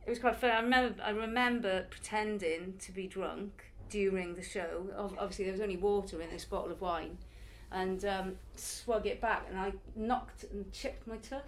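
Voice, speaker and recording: A woman's voice: medium pitch, steady tone, moderate pace, few pauses. A woman in her early forties, a circus performer and youth circus teacher, answering interview questions in a chatty, reflective way. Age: early 40s. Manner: chatty, reflective, laughs now and then.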